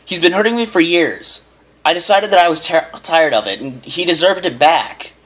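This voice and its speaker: A man's voice: on the high side, normal speed, fairly bright tone, voice pushed up, rough recording. A man voicing a bullied young male unicorn, defiant and taunting, in a storybook reading. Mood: upset, pleading.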